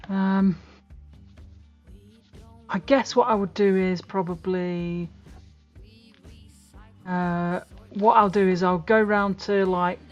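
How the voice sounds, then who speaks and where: A woman's voice: medium pitch, steady tone, takes it slowly, pauses often. A woman chatting casually as a player in a tabletop role-playing game. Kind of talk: casual chat. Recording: muffled lo-fi audio with music behind. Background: background music.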